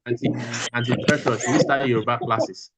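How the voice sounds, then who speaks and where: A man's voice: medium pitch, fairly even intonation, moderate pace, very noisy. A man teaching a class over a video call, repeating his phrases for emphasis. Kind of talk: online class lecture.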